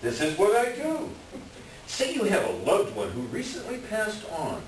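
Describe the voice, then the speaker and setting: A man playing a hapless middle-aged swindler doctor in a staged reading, pleading and self-deprecating, with a halting, wheedling delivery. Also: high-pitched, wildly swooping pitch. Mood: smooth, evasive. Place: echoey room, far from the mic. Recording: distant echoey room with hiss.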